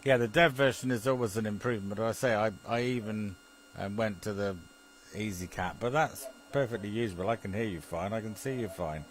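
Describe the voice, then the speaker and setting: A man's voice: fairly low pitch, brisk, few pauses, poor audio. A British man in a rambling, chuckly casual chat, often muttering to himself as he operates an amateur television link.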